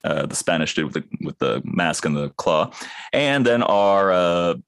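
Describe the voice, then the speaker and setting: A man with a low voice that swings widely as he tells stories. He chats casually, acts out the voices of the people he quotes and laughs often. The sound is dry and close. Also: lively intonation, unhurried, creaky, no room sound. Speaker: voice actor and co-host. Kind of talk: casual chat. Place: Japan.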